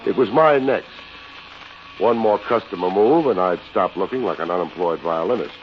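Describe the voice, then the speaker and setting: An actor playing a wisecracking, deadpan private investigator with an American accent, in the style of fifties radio detective drama. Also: male voice, low-pitched, normal speed, close to an everyday voice. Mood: wry narration. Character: wry, hard-boiled male private investigator. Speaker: radio detective lead and narrator. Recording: dull vintage radio recording, music behind.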